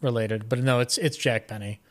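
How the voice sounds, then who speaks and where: A man's voice: medium pitch, normal speed. A man talking casually as a podcast guest, rambling along with a fan's enthusiasm. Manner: rambling, enthusiastic fan talk. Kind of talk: casual interview chat.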